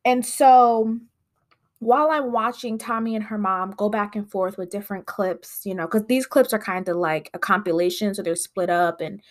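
A woman's voice: medium pitch, steady tone, moderate pace. Woman, nasal, stuffed-up sinuses, solo podcast host, chatty, lots of fillers. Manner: chatty, rambling with frequent fillers. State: congested sinuses. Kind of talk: casual solo podcast chat.

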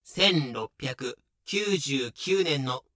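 A man's voice: mid-range voice, expressive, extremely slow, sharp tone, no echo, studio quality. A man giving scripted, comedic narration for a pirate audio drama.

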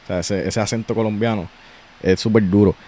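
A man with a Puerto Rican accent hosting a podcast, chatting casually in a slangy, relaxed way with frequent restarts. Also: on the low side, a little fast. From Puerto Rico. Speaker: podcast host.

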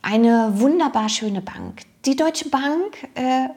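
A woman's voice: sits high, lively intonation, slow, mellow. A woman telling a personal story informally, hesitant and searching for her words.

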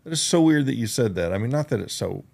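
A man's voice: mid-range voice, lively intonation, quick pace, creaky, darkish tone, breathy edge. A man in his fifties hosting a podcast interview, chatting casually and reflectively with frequent restarts. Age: 50s. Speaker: podcast host.

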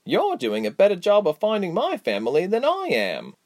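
An eager young woman adventurer from a storybook, put on by a man. The voice is raised high and moves in big swoops, expressive and warm. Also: brisk, dry recording, clean studio sound, heavily acted. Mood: quietly confident.

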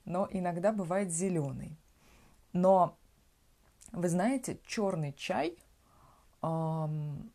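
A woman from Russia hosting a podcast, explaining words slowly and simply and often checking in with the listener. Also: on the low side, a little mellow. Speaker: podcast host teaching Russian. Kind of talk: slow conversational language lesson.

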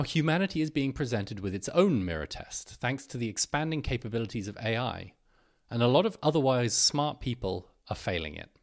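A man hosting a podcast, reading scripted narration and an article aloud. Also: medium pitch.

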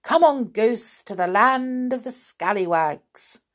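Young male storybook hero, woman performing: brisk, emphatic, quick to exasperation, raised and very animated voice. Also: on the high side, voice pushed up. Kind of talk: children's storybook character voice.

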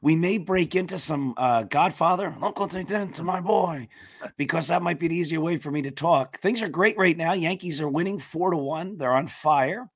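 A man's voice: on the high side, normal speed, husky, no echo, studio quality. A man hosting a casual sports talk show over a remote call, upbeat and chatty while losing his voice. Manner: talkative, upbeat, jumps between topics. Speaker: podcast host.

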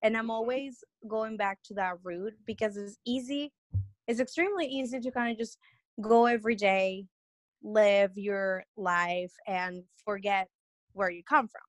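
A woman's voice: mid-range voice, a little slow, no echo. A young woman from Peru, a guest on an interview podcast, telling her story in a rambling, earnest way with many restarts.

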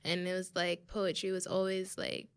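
A young woman with a soft voice, a guest on a podcast, talking casually about her music and laughing often. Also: fairly low pitch, normal speed, clear, resonant tone. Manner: relaxed storytelling, laughs often, acts out quoted voices.

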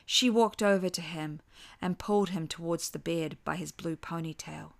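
Casting: female audiobook-style narrator, slightly low pitch, scripted dramatic storytelling. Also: moderate pace, creaky. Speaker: author and narrator.

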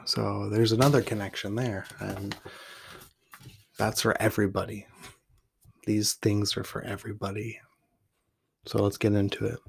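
Relaxed, low-voiced man musing on his roots. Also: moderate pace, vocal fry, pauses often, breathy edge. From Portland, Oregon. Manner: loose and reflective, with many fillers and restarts; reads his poem with emphatic rising lists. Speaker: podcast host and poet. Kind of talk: casual talk and poetry reading.

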